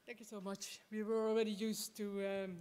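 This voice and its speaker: A woman's voice: medium pitch, little pitch movement, normal speed, darkish tone, smooth. A woman, asking a question at a conference lectern microphone. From Belgium. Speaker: audience questioner. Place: large conference hall, at lectern. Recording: echoing hall amplification.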